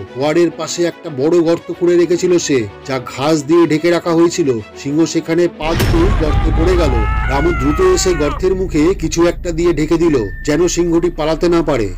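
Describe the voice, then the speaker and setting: A man's voice: a little high, steady tone, quick pace, hardly any pauses, music in the background. A man narrating a scripted children's folk tale.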